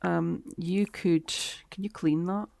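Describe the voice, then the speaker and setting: A woman, chatting through a live-streamed game, a little hoarse and talking to her characters. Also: lowish voice, steady tone, takes it slowly, dark tone, dry recording. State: slightly hoarse, losing her voice. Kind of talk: live-stream gameplay commentary.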